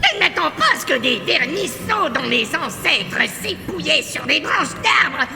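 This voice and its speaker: A woman's voice: sits high, animated, a little slow, gravelly, pushed and bright, exaggerated voice. A woman playing a haughty alien villain in a comic sci-fi audio drama, pompous, contemptuous and declamatory. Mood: scornful, enraged. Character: haughty, raging alien villain scorning Earthlings. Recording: echoey hall reverb, music behind.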